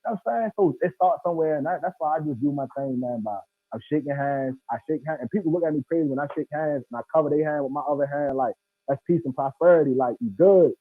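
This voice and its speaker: Man, American accent, quick, husky, slangy and emphatic, thin phone-like call audio. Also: on the high side, no room sound, faint music behind. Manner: emphatic, slangy, often says "bro". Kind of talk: casual, animated discussion. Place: indoor room, video call.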